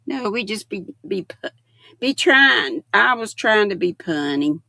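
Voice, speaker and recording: Older woman hosting a livestream, gravelly, unhurried delivery. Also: medium pitch, expressive, bright, forceful tone, no echo. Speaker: livestream host. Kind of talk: casual chat with live viewers.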